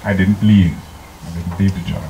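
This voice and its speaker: An older man from Trinidad, a pastor preaching a slow, deliberate sermon into a handheld microphone in a church, now and then singing hymn lines. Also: deep voice, fairly even intonation, dark tone, a little hiss or hum. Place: church sanctuary. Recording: echoey church room.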